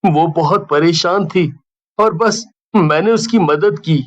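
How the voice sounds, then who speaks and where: A man voicing a gentle, lovestruck young ghost in a horror cartoon, speaking earnestly and wistfully. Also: high voice, slow, lo-fi sound. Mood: wistful, confessing. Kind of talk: Hindi horror cartoon dubbing.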